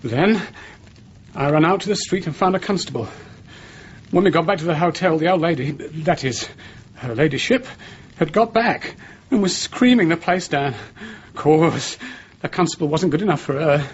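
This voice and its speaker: An actor with a working-class English accent playing a frightened, servile Victorian hotel attendant turned thief in a radio drama, whining and stammering. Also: male voice, fairly high pitch, lively intonation, moderate pace, slightly rough. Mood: shaky confessing. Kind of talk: British radio drama acting. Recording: muffled radio recording with background noise.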